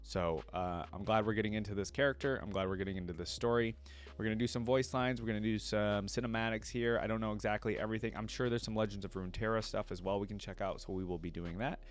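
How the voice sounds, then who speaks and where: A man's voice: fairly low pitch, steady tone, quick pace. A man hosting a reaction video, chatting informally and speaking straight to his viewers. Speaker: reaction video host and streamer. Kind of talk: casual reaction commentary.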